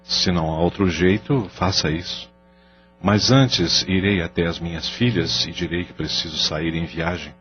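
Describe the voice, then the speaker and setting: A man voices a guilt-ridden husband in an audio drama, very deep and weary as he pleads. The recording is dull and lo-fi, with faint music behind him. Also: normal speed, natural voice.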